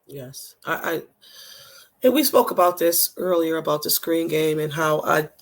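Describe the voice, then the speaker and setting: Hoarse co-host with a gravelly, worn voice. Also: mid-range voice, animated, unhurried. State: hoarse, voice nearly gone. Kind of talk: casual sports chat.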